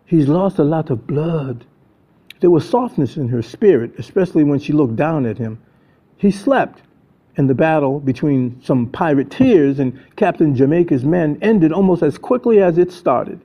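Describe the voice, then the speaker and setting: An American man in his seventies narrates a dramatic story in the first person. His voice sits a little high for a man, and the recording is dull and lo-fi. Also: lively intonation, normal speed. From United States (New England). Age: 70s. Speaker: storyteller reading own book. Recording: dull lo-fi audio.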